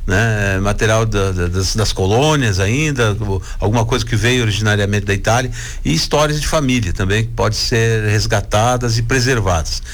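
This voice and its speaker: A man who is president of an Italian cultural club, speaking as a radio guest and explaining plans hesitantly, with many pauses and restarts. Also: lowish voice.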